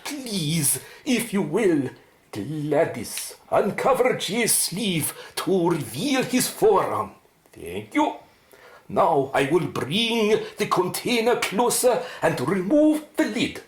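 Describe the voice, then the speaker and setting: Showy scientist, swooping intonation, roomy sound. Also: female voice, fairly low pitch, big pitch swings, takes it slowly. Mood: showman-like. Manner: formal, showy, precise. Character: proud, excitable medical scientist presenting an experimental cure. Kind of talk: radio drama acting.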